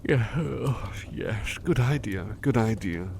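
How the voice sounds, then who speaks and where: Male actor as weary, loving manservant; ASMR intimacy, unhurried, courteous, hesitant delivery, slightly noisy ambience. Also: fairly low pitch, lively intonation, creaky, a little mellow, full character voice. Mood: sleepy, tender. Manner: hesitant, halting, polite old-fashioned phrasing, affectionate. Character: overworked, devoted, weary butler resting affectionately on partner's lap. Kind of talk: ASMR roleplay, intimate personal attention.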